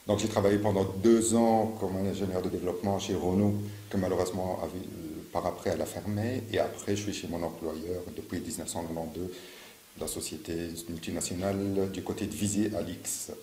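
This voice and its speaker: A man's voice: low voice, steady tone, fairly quick, warm tone, slight background noise. A man in his fifties from Morocco, a local election candidate answering interview questions with many fillers and restarts. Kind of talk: campaign interview. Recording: echoey room with faint ambience.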